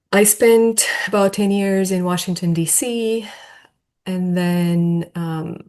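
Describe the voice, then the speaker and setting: A woman with a slightly low voice and a Romanian accent answers as an academic podcast guest. Her talk is conversational and reflective, often rephrasing itself. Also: darkish tone. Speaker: podcast guest, architecture professor.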